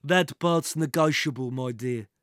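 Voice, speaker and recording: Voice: medium pitch, measured pace, fairly bright tone, no room sound, clean studio sound, lightly acted. A storyteller voicing a menacing male villain in an adventure audiobook, mock-polite and threatening with a nasty temper.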